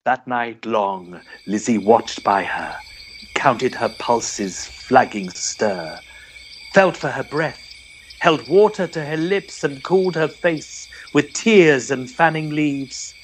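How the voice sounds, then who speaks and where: A man giving a theatrical, measured dramatic reading of Victorian verse as a narrator. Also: medium pitch, expressive, a little hiss or hum.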